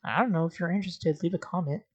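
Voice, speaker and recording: A woman's voice: fairly low pitch, fast, breathy edge, no room sound, highs cut off. A woman hosting a video essay, giving casual scripted commentary with wry, sarcastic asides and informal, sweary phrasing.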